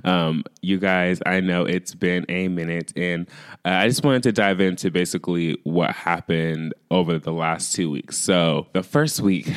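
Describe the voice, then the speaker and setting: A man hosting a casual solo podcast, chatty and full of fillers, his voice a little raspy as he recovers from losing it. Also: low voice, dry recording, studio quality. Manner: chatty, filler-heavy, addresses listeners as "you guys". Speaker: podcast host.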